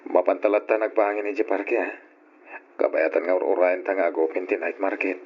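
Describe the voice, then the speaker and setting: A man's voice: low voice, steady tone, brisk, slightly rough. An actor with an Ilocano accent playing a devoted, polite young working man in love, in an old radio drama. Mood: attentive, tender. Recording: muffled, noisy old radio drama recording.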